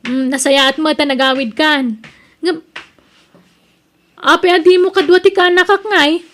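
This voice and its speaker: A woman's voice: high voice, steady tone, a little slow, a bit bright, a little hiss or hum. A woman playing an outspoken, meddling older sister in an audio drama, with a chatty, pointed way of talking. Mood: probing. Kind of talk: radio-style melodrama acting.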